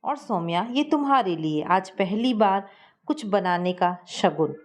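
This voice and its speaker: A woman voicing an affectionate middle-aged mother-in-law, gentle and fondly scolding. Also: mid-range voice, expressive, measured pace, voice pushed down, lightly acted.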